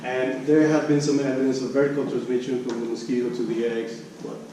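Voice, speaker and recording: A man presenting research at an academic conference, speaking haltingly and often repeating and restarting his phrases. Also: mid-range voice, level tone. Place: conference room. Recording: echoey room, distant mic, background noise.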